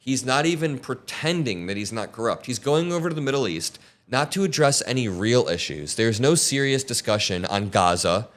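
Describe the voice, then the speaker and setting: A young man hosting political commentary, talking in an emphatic and sarcastic way, speeding up and slowing down. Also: medium pitch, expressive. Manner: emphatic, sarcastic, shifts between fast and slow.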